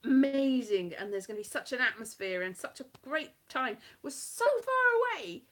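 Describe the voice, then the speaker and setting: A woman's voice: high-pitched, big pitch swings, normal speed, fairly bright tone, low-quality recording. Woman, from South Wales, light West Country-tinged accent, comedian, rambling self-mocking chat, kitchen live stream. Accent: light West Country-tinged Welsh. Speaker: comedian performing at a festival. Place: home kitchen.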